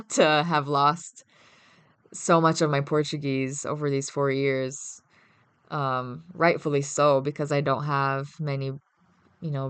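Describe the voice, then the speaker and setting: Low, slow-talking young woman from Brazil. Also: clean tone, dry recording. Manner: laughs often, hesitant fillers. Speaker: interview guest. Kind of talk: casual interview chat.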